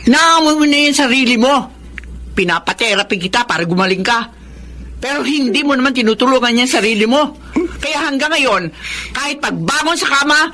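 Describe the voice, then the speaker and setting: A woman with a Filipino accent playing an older widow, a secret lover and impatient caregiver, in an expressive radio drama. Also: sits high.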